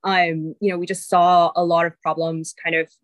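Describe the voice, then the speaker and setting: A woman in her thirties with a mixed Singaporean and American accent, speaking in a fairly low voice. She talks as a guest in a relaxed, chatty way, filling pauses with um and like. The sound is dry and close, with no room echo. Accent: mix of Singaporean and American English. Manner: chatty, many fillers like um and like. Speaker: venture capital partner, guest. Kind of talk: casual interview chat.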